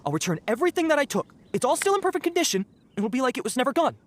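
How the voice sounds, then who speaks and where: Jittery, swooping cowardly young bandit. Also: female voice, high-pitched, very animated, racing. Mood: sheepish, pleading. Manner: nervous, stammering, pleading. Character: cowardly, pleading young bandit, nervous and apologetic. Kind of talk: video game character acting.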